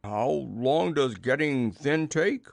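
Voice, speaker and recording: A man voicing a gentle, dim, gloomy storybook bear with ponderous, drawn-out speech. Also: mid-range voice, sing-song, no echo, full character voice. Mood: anxious. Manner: slow, ponderous, drawn-out delivery.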